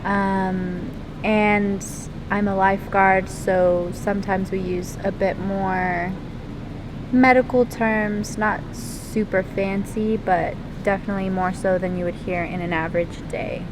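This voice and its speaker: A teenage girl answering interview questions at a middle pitch, stopping often to think with ums and likes. A loud, steady rumble runs under her voice throughout. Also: slow pace. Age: teens. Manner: hesitant, many ums and likes. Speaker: interviewee, lifeguard and swimmer. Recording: loud rumbling background noise.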